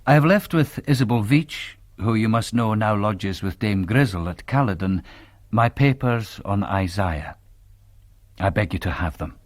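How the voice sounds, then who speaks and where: An actor playing a grave, devout young Presbyterian minister with a Scottish accent, in a radio drama. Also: male voice, fairly low pitch, animated, measured pace, dry recording, studio quality. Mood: grave, formal. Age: young adult. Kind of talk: radio drama acting, reading letters and journal.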